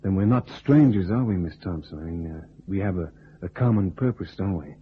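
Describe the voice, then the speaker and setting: An actor playing a wisecracking, cool American test pilot with a laconic manner in a radio detective drama. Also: male voice, deep voice, animated, fairly quick, husky. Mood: teasing, flirtatious. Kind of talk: old-time radio detective drama acting. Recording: muffled, narrow old radio recording.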